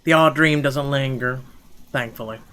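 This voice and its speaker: A man's voice: medium pitch, normal speed, fairly bright tone, clear, resonant tone. A man reading visual novel narration aloud in a theatrical way, now and then stumbling over words. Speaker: gaming video host. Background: game music.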